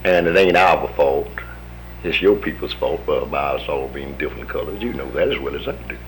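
A man's voice: extremely low pitch, a little fast, slight background noise, low-quality recording. An American man in his fifties, an army veteran telling his story in a folksy manner, acting out the voices of the people he quotes. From United States. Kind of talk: oral history storytelling.

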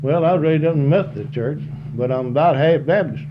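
An elderly man of seventy recalls old times in a loose, folksy ramble, circling back and repeating words. His voice comes through muffled, lo-fi tape with a clear hiss behind it. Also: on the high side, fast. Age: 70s. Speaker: interviewee. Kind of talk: oral history reminiscence.

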